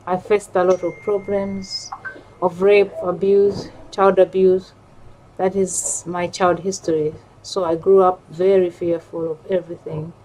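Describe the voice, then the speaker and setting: Low, even-toned Ugandan woman, noisy recording. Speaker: panel speaker and campaign group member. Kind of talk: personal testimony at a panel. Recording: clear background noise, ambient sound.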